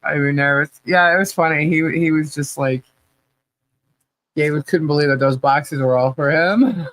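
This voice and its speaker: A woman's voice: low voice, normal speed, no room sound. Nasal-sounding woman hosting a livestream. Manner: rambling, with frequent restarts and laughs. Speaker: livestream podcast host. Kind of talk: casual livestream chat.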